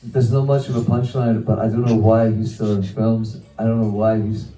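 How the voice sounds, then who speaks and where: A man's voice: lowish voice, little pitch movement, normal speed, mellow, distant mic, faint music behind. A young man doing a rambling, self-deprecating stand-up set, swearing and trailing off into laughs. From Wales. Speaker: stand-up comedian. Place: small comedy venue. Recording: echoey room, mic picked up from afar.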